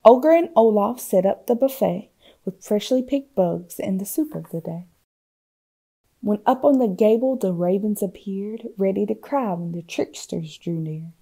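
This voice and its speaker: A woman reading a children's picture book aloud expressively, with theatrical hushes and swells. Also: medium pitch, creaky, breathy edge. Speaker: storytime reader.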